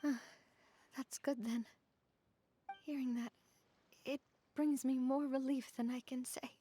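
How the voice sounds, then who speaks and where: A weary young heroine. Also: female voice, sits high, steady tone. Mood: tender. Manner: soft, earnest, slow. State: worn out. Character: young woman leader, weary and vulnerable. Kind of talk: video game voice acting.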